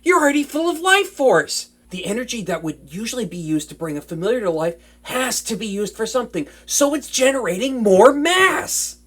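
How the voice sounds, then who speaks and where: Woman acting a flustered, animated young wizard woman. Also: fairly high pitch, moderate pace, few pauses. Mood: excited realization. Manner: flustered stammering, hesitant, breathless enthusiasm. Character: nervous, smitten young woman wizard, bookish and excitable. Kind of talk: intimate roleplay audio drama addressed to listener.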